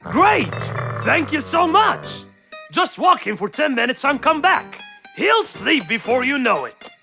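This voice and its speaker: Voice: sits high, very animated, a little slow, vocal fry, pushed and bright, exaggerated voice. An actor voicing a good-natured adult male neighbour in a children's cartoon, groaning and drawing out exclamations, with music behind. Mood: grateful.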